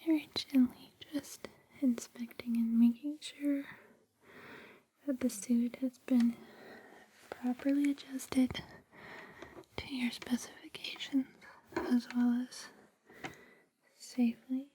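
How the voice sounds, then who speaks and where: A woman whispering gently and reassuringly in an ASMR personal-attention roleplay, with a breathy, soothing delivery. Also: sits high, flat delivery, takes it slowly, long pauses, slight background noise, low-quality recording. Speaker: ASMR host playing a space suit fitting attendant.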